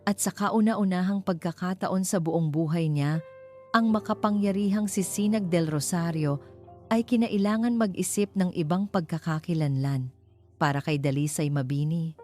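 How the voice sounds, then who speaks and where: Female Filipino storyteller, low-pitched, scripted romantic-drama narration, music bed underneath. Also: normal speed, a little mellow. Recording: music behind the voice. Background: clear background music.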